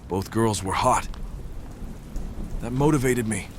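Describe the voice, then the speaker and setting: A man playing a brooding stalker who narrates an audio drama in deliberate, detached, literary phrases. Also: on the low side, noisy background. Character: brooding male stalker narrating his nightly watch. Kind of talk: scripted first-person narration, audio drama.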